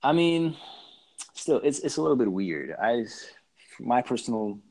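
A man speaking as a guest in a conversation, thoughtful and measured, often restarting sentences as he weighs both sides. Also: medium pitch, very animated, a little mellow. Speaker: podcast guest. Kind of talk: reflective conversational talk. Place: lives in southern Slovakia.

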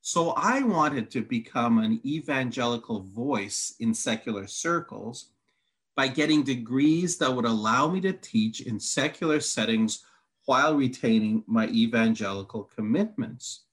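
A man's voice: medium pitch, expressive, unhurried, a bit bright. A man whose first language is Hungarian, a Bible teacher reading long quotes aloud and laying out his answers point by point. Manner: methodical, reads long quotes, counts points. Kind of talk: teaching conversation.

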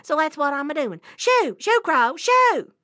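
A woman voicing a wily, fast-talking male trickster rabbit in a folk tale, excitable and folksy. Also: sits high, no echo, voice pushed up. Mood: bragging. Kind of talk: animated folk-tale storytelling.

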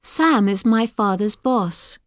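A woman's voice: mid-range voice, expressive, slow, no echo, clean studio sound. A woman reading example sentences for language learners, each one carefully enunciated. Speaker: course audio speaker. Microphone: dry studio mic.

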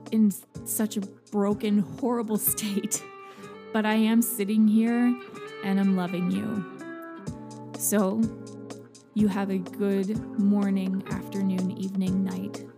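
A young adult woman hosting a podcast, talking to listeners in an earnest, halting personal monologue. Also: mid-range voice, vocal fry. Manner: earnest, halting, soft-spoken. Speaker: podcast host.

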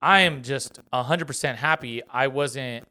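A man's voice: mid-range voice, a little slow, pushed and bright. A man in his thirties co-hosting a podcast, talking loosely and profanely, laughing often. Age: 30s. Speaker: podcast co-host. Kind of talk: casual chat.

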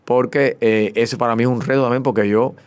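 A man's voice: mid-range voice, clear, resonant tone. A man with a Cuban accent, a theater director chatting in an interview. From Cuba. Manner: fast, animated, restarts words. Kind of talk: casual interview talk.

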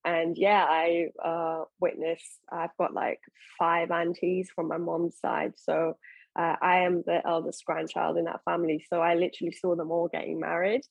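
A young woman from Iran talks about herself as a guest in a casual interview. Her voice sits low with a husky, gravelly grain. She rambles warmly through fillers and restarts, recorded close and dry. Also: monotone, normal speed, dry recording, low-quality recording. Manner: chatty, many fillers and restarts. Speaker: guest, bikini competitor.

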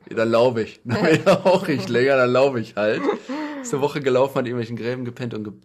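A young man hosting a podcast, chatting in a relaxed way and telling anecdotes. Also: medium pitch, fast talker, a crowd in the background. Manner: relaxed, tells anecdotes with quoted voices. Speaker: podcast host. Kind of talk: casual podcast conversation.

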